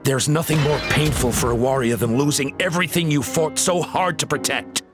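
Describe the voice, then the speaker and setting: An actor playing a devoted father in a video game, speaking earnestly, politely and gently. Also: a little high, fairly even intonation, fairly quick, over music, lightly acted. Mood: pleading. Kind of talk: scripted video game voice acting.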